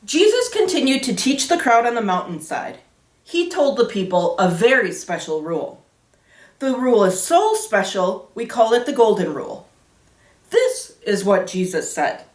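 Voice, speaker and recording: A woman hosting a children's Sunday school storytime, explaining things simply in a teacherly way with rhetorical questions. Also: fairly high pitch. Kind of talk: explaining simply to children, with Bible reading and interviewing. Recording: roomy echo, distant from microphone.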